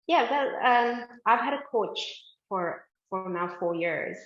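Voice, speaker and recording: A woman's voice: medium pitch, animated, slow, highs cut off. Chatty woman from India, roomy sound. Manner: chatty, many "like" fillers, upbeat. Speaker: guest, fashion manufacturing founder. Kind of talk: casual interview chat.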